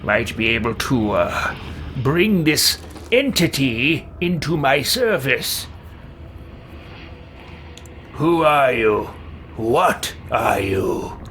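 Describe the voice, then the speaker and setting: A man acts out a curious fantasy adventurer from a role-playing game. The hero speaks earnestly and deliberately, probing with questions in a mid-pitched male voice, with faint noise behind. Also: heavily acted. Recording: steady background noise.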